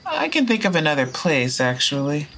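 A woman's voice: low-pitched, sing-song, normal speed, fairly bright tone, a little hiss or hum, full character voice. A woman playing a frank, foul-mouthed time traveler in an audio drama, speaking and narrating in a casual, wry way. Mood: guarded, wry. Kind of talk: audio drama dialogue and first-person narration.

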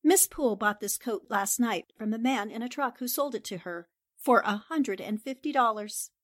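A woman's voice: fairly high pitch, normal speed, no echo, clean studio sound, natural voice. A woman playing a confident, determined newspaper sleuth in 1940s radio drama style, assertive and politely pointed. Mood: brisk, businesslike.

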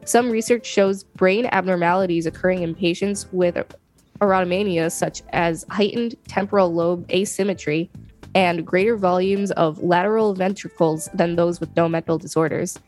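A woman co-hosting a podcast, reading an encyclopedia article aloud in a measured, clear way. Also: fairly low pitch, steady tone, a little mellow, faint music behind. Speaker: podcast co-host and reader.

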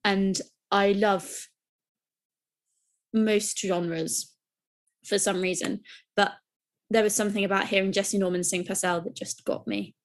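A woman's voice: mid-range voice, steady tone, a little slow, pauses often. A young woman, co-hosting a podcast, talking enthusiastically and rambling with restarts. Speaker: podcast co-host. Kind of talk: casual chat.

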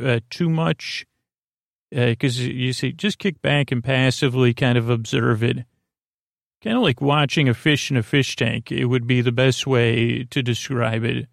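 A man hosting a bedtime podcast in a rambling, halting casual monologue, repeating himself and drifting into tangents with a gravelly voice. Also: medium pitch, dry recording, studio quality. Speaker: podcast host.

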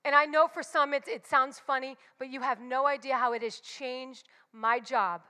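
Woman, forties, high and bright, candid church speaker, long reverb. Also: fairly even intonation, moderate pace, clean tone. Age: 40s. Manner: candid and confessional, emphatic repetitions. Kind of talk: personal devotional talk.

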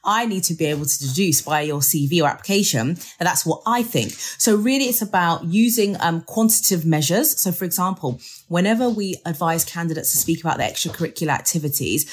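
Woman, very low husky voice, steady reassuring adviser. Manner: measured and reassuring, with many "um"s. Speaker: legal recruitment adviser. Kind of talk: advice in an interview.

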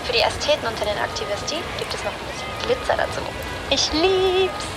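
An actress playing a cheeky young activist presenting a social-media style how-to in a chirpy, playful manner. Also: female voice, high voice, sing-song, fairly quick, over loud music, rough recording. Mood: upbeat.

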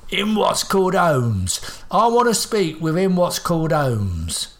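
A man voicing a decrepit, rude old man who talks in rough, uneducated speech and croaks out his words. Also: high voice, big pitch swings, a little slow, voice pushed up. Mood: gruff, insistent.

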